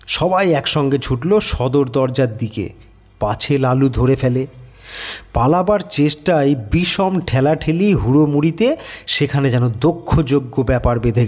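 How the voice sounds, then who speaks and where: A man's voice: medium pitch, darkish tone. A man reading a literary audio story as a dramatic scripted narration.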